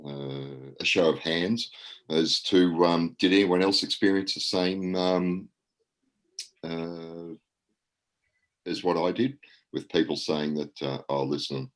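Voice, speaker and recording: Australian man, extremely low voice, halting with lots of ums, meeting report. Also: fairly even intonation. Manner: hesitant, many fillers, rambling. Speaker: registrar reporting at an annual general meeting.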